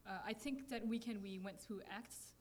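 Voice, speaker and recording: A young woman from France presenting her campus ministry work with slides, soft-spoken and pausing to search for words. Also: fairly high pitch.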